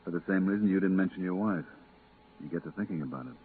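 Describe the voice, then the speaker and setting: Very low-voiced, stern veteran space pilot, played by a man. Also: fast, close to an everyday voice. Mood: weary, guarded. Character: stern, weary veteran moon-base pilot, three years stranded. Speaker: radio drama actor. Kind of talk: 1950s radio drama acting. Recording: tinny, hissy 1950s radio recording.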